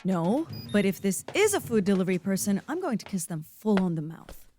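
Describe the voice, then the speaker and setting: A woman playing a competitive, sarcastic, easily exasperated young agent in an audio comedy drama, punchy and expressive. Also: mid-range voice, brisk, faint music behind, lightly acted.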